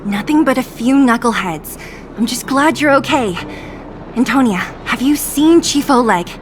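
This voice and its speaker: Cocky young street fighter woman, blunt and fairly high, anime game dialogue over loud music. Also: lively intonation, moderate pace, a little hiss or hum. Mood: confident. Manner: blunt, assertive. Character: brash, cocky young female underworld fighter. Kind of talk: anime-style video game voice acting. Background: game cutscene music.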